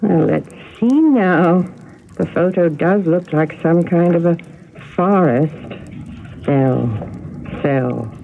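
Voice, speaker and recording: A woman's voice: on the low side, animated, slow, creaky, full character voice. An actress playing a sweet, nostalgic, easily flustered woman in a radio mystery, hesitant and given to exclaiming. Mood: excited wonder. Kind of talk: radio mystery drama acting. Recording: narrow-band, noisy radio drama audio.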